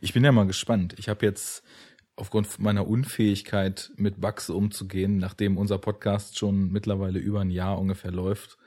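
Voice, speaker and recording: A man's voice: low voice, level tone, moderate pace, warm tone, breathy edge. A man hosting a podcast, talking casually about films with his guests. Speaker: podcast host. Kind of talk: casual film podcast talk.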